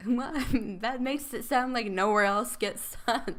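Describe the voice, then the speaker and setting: A woman's voice: a little high, unhurried, fairly bright tone. A woman co-hosting a podcast in casual chat, rambling with fillers and laughs. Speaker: podcast co-host.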